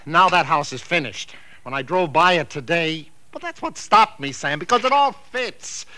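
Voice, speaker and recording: A man's voice: a little high, expressive, moderate pace, sharp tone, slight background noise. An actor playing an earnest, uneasy male rental agent in a 1940s radio drama, with an insistent manner.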